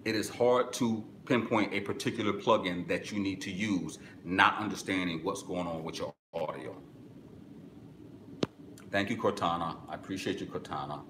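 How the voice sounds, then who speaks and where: Middle-aged male host with a deep voice, blunt and instructive, live-streaming from an echoey office on a camera mic. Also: normal speed, noisy background. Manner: direct, instructive, answers viewer comments. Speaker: music business webinar host. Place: small office with corkboard, indoors.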